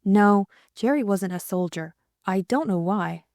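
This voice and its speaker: A woman's voice: medium pitch, lively intonation, unhurried, a little mellow, dry recording, clean studio sound. A woman voicing an earnest, decided young woman in an audiobook, speaking plainly. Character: earnest young woman, firm but admiring.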